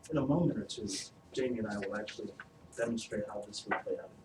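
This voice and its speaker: Man explaining scoring, echoey room. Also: on the low side. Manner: measured, self-correcting explanation. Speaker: staff trainer. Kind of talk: training session explanation. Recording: distant, echoey, noisy room.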